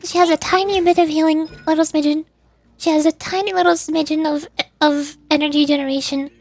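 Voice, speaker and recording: A woman streamer chatting casually about a video game in a rambling, playfully exasperated way, often halting and repeating words. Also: high-pitched, steady tone. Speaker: streamer, VTuber. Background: background music.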